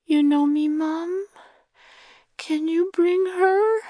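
A woman reading an audiobook, voicing a tiny frightened toddler girl who talks in high, wailing, childlike broken grammar. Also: very slow, mellow, no echo, exaggerated voice. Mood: wondering.

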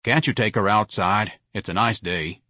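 A man's voice: fairly low pitch, normal speed, pushed and bright, dry recording. A man voicing an exasperated middle-aged husband in an audiobook, with a dry, grumbling manner. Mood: annoyed.

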